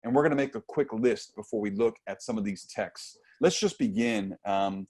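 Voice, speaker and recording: A man in his forties leading a youth writing workshop over a video call, talking in a relaxed way with lots of fillers. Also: lowish voice, normal speed. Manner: relaxed and conversational, frequent fillers. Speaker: workshop leader. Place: home room with bookshelves. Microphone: earphone mic on a video call.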